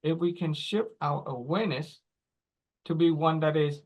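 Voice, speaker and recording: A man in his forties giving a teaching talk, explaining slowly in short phrases and checking in with "right?" and "okay?". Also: a little high. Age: 40s. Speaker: speaker.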